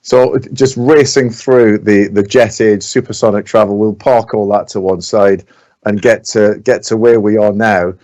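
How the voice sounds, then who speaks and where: Deep-voiced man hosting a podcast interview. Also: steady tone, measured pace, a little mellow. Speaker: podcast host. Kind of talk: conversational interviewing.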